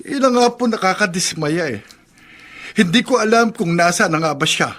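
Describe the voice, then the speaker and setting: A man's voice: sits high, animated, moderate pace, fairly bright tone. A distraught jilted young man. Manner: agitated, complaining. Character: distraught young man left by his girlfriend. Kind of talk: radio drama acting.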